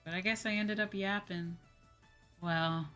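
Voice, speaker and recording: A woman's voice: medium pitch, steady tone, measured pace, pushed and bright, clear, resonant tone, soft background music. A slightly sleepy woman hosting a livestream, chatting casually and informally with her viewers. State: a little sleepy. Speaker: livestream host, VTuber.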